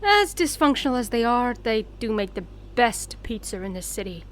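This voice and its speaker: A woman's voice: on the high side, big pitch swings, moderate pace, a little hiss or hum. A woman playing a disapproving, exasperated young woman in a comedy audio drama, with a dramatic delivery. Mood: casual.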